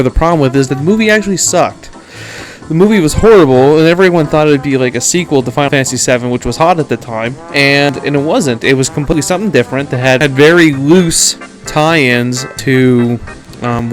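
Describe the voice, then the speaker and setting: A blunt, emphatic man with a Canadian accent giving opinionated film commentary as a podcast host, sometimes swearing. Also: medium pitch.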